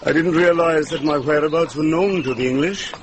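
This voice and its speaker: A man's voice: a little high, a little fast, natural voice. An actor playing a proud, wary lord in a radio drama, commanding and sardonic as he questions. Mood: wary, testing. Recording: noisy vintage radio drama recording.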